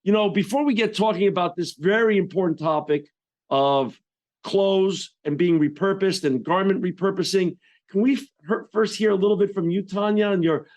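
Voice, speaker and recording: A man's voice: high voice, a little mellow. A man hosting an interview podcast remotely, upbeat and effusive as he welcomes and questions his guest. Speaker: podcast host.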